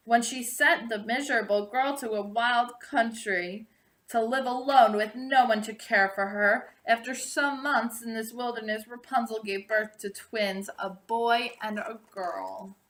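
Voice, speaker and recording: A woman reads a fairytale aloud in a bright, fairly high voice. Her storytelling is expressive and deliberate, with drawn-out phrase endings. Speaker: storybook reader. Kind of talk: read-aloud storybook narration.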